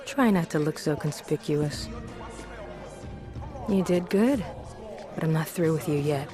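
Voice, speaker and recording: Low-voiced, brash street racer woman. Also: unhurried, music in the background. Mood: bossy, cool. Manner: assertive, wry, streetwise. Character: tough, brash female street racer and gang schemer. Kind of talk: video game cutscene acting.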